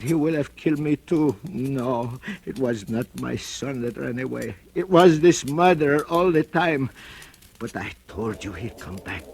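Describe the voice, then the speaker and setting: An actor playing a grim, vengeful old blind and deaf cobbler, narrating his tale slowly in a 1940s radio drama. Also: medium pitch, close to an everyday voice. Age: older. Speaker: narrator and lead character. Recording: old radio recording with music bed.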